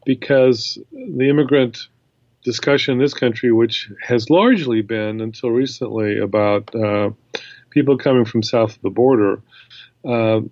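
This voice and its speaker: Measured male professor on a dull line. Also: medium pitch, a little mellow, no echo. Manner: measured, explanatory. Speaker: guest professor. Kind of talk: interview answers.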